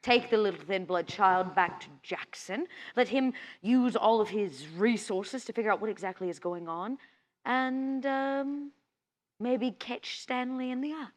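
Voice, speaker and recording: A woman's voice: a little high, a little slow, natural voice. A woman voicing a shrewd, commanding female vampire with a theatrical, coaxing manner in a tabletop game. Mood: sly, scheming. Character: shrewd, commanding, sly female vampire gang schemer named Vera.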